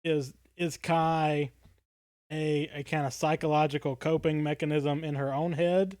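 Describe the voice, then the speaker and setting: A man's voice: fairly high pitch, level tone, measured pace, a little mellow, no room sound, clean studio sound. A man co-hosting a podcast in casual discussion, thoughtful and a little tentative in his phrasing. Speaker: podcast co-host.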